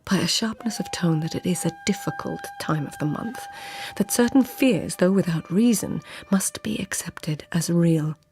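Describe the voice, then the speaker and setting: An actress playing an anxious, deferential court lady in a radio drama, speaking formally and earnestly, sometimes hushed. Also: fairly low pitch, wildly swooping pitch, normal speed, few pauses. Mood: reflective, intimate.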